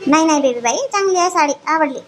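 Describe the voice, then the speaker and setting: A woman voicing a chatty, fussing village woman in an animated family comedy. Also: sits high, fast, slight background noise. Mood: reassuring.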